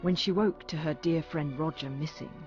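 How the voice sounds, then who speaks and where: A man acting as a solemn fantasy storyteller with a lightly raised pitch. He reads in measured, storybook fashion for a game. Music plays clearly behind his slightly dull recording. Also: natural voice. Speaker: narrator. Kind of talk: scripted storybook narration.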